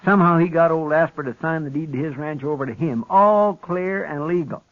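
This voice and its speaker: An eager male frontier helper, played by an actor, with a fairly high voice and rustic grammar. He is deferential and folksy. It sounds like a lo-fi 1950s radio western. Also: a little fast, close to an everyday voice. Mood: earnest. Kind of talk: old-time radio western acting. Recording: dull lo-fi 1950s radio recording.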